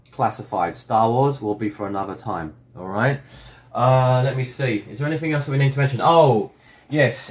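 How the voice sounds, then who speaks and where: A middle-aged man and toy shop owner, hoarse from illness, chatting casually to his customers with lots of fillers and restarts, filmed by a desk camera in a stockroom. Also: mid-range voice. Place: indoor stockroom full of toy boxes.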